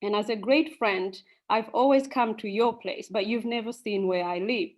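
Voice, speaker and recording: A woman voicing a smooth-talking male shark in a folk tale, coaxing and friendly. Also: mid-range voice, moderate pace, a little mellow, natural voice. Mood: inviting.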